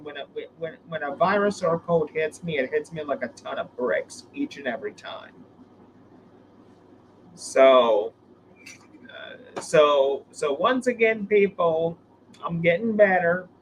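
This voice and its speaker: A man hosts a relaxed live stream, his voice worn and hoarse from a recent illness. He sits fairly high in pitch and rambles, restarting his sentences often. A faint background hum sits under him. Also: measured pace, poor audio. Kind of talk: casual live stream chat.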